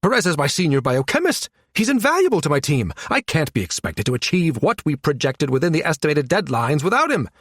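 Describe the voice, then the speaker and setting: A man's voice: mid-range voice, very animated, moderate pace, dry recording, studio quality. A man voicing an irritable, pedantic male scientist with a fussy, indignant manner in an audiobook. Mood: spluttering indignation.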